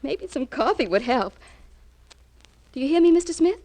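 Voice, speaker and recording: High-voiced, earnest radio drama wife. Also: female voice, expressive, moderate pace, dark tone. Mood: relieved, fussing. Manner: earnest, emotional, insistent. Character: trusting, anxious, fiercely loyal small-town wife. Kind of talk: 1950s radio crime drama acting.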